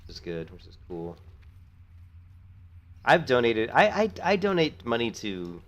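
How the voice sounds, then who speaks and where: A man's voice: mid-range voice, sing-song, measured pace, dull audio. A middle-aged man with a sore throat, chatting casually and rambling to his viewers while streaming a game. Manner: friendly, rambling, thanks viewers. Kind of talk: casual chat while gaming.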